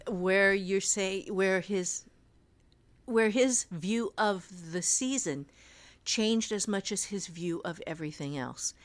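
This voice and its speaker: A woman co-hosting a podcast in a casual discussion, explaining things in a measured, deliberate way. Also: medium pitch. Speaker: podcast co-host. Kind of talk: casual discussion of fiction writing.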